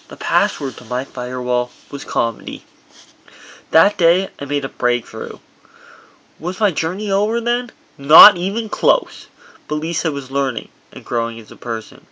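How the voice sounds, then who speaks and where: A man reading his own first-person memoir as audiobook narration, in a halting delivery that stumbles over words. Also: mid-range voice, expressive, sharp tone, lo-fi sound.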